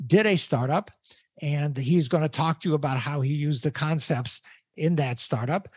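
An older man, a professor hosting a podcast, introducing and questioning a guest in a deliberate, emphatic way. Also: fairly high pitch, dry recording, studio quality. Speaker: podcast host.

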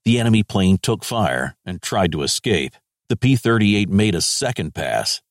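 A man's voice: low voice, big pitch swings, normal speed, no echo, clean studio sound. A man playing an American major general of the Second World War, reading his war diary in clipped, terse phrases. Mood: vivid, matter-of-fact.

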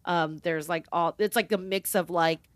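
Chatty, excited woman film reviewer, slightly low voice, dry mic. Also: moderate pace, no echo. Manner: enthusiastic, chatty, many fillers of like. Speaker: film podcast co-host. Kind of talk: casual film review chat.

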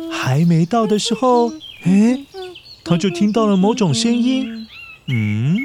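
A man's voice: high voice, noisy background. A man with a Taiwanese accent telling a story expressively, speaking directly to young children.

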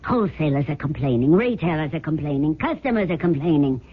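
Husky, sardonic worldly woman, old radio. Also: sits low, animated, fast. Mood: dry, exasperated. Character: sardonic, blunt, worldly mistress and business confidante. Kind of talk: 1970s radio drama acting. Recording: muffled phone-like old radio recording.

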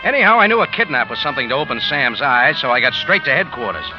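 A man's voice: medium pitch, big pitch swings, fast, lightly acted. An actor playing a tough, wry detective who tells his own story in clipped slang, in 1940s radio drama style. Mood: determined. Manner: hard-boiled, clipped, slangy. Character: tough, wry American detective hero telling his adventure. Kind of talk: 1940s radio drama acting and first-person narration. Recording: muffled old radio recording, music behind.

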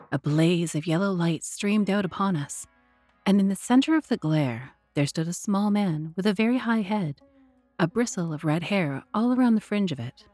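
A woman narrating a literary tale as a storyteller, measured, deliberate and expressive. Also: on the low side, no echo. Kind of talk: dramatic scripted literary narration.

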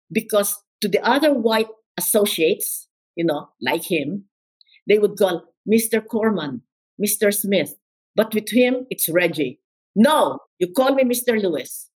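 Older woman, Filipino accent, husky, emphatic, repeats herself. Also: mid-range voice, darkish tone, low-quality recording. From Philippines. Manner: emphatic, repeats words, quotes others. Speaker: interview guest. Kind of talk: storytelling interview.

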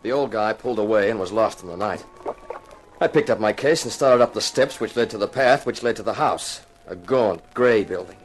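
Low-voiced, wry hard-boiled investigator played by a man. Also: close to an everyday voice. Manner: dry, wisecracking. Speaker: narrator and lead actor. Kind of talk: 1950s radio detective drama, noir narration. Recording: slightly noisy 1950s radio recording.

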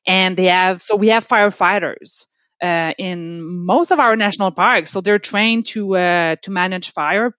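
A woman with a Quebec French accent, her first language French, talks as an interview guest calling in from home. She pauses often with fillers and restarts, her voice at a middle pitch. The sound is dry and close, with no room echo. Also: a bit bright. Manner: hesitant, frequent fillers and restarts. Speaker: park service guest. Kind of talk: casual interview chat.

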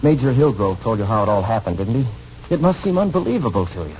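An actor playing an earnest, insistent young husband and son in a 1940s radio drama, with emotional delivery. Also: male voice, on the low side, big pitch swings, fast, darkish tone. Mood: hopeful. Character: intense, proud young husband and devoted son. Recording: muffled, noisy 1940s radio recording.

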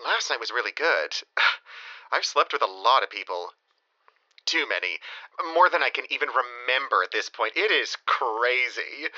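Dramatic lovesick ex guy in his 30s, rambles and stumbles, really animated, muffled phone voicemail, audio comedy. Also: mid-range voice. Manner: dramatic, halting, rambling confessional. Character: rambling, lovesick, self-pitying ex-boyfriend in his thirties.

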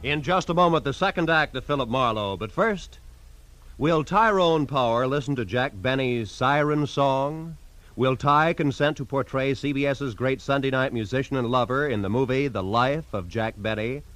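A man's voice: mid-range voice, moderate pace, a bit bright, dry recording. A man announcing in polished, emphatic 1940s radio style, reading scripted promotion.

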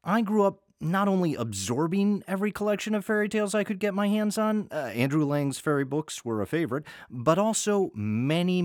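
A man's voice: medium pitch, no room sound, clean studio sound. An American man introducing an audio story series as host, in a wry, conversational scripted style.

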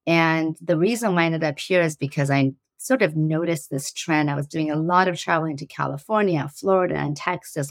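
A woman's voice: sits low, dry recording, clean studio sound. A woman in her forties from Iran with a slight Iranian accent, answering interview questions earnestly and laughing easily. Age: 40s. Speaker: guest, startup CEO.

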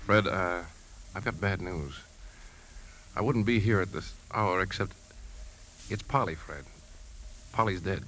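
A man's voice: sits low, measured pace, long pauses, a bit bright. A man playing a kindly, concerned, slightly hesitant uncle in a 1950s radio drama. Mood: troubled. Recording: noisy 1950s radio broadcast recording.

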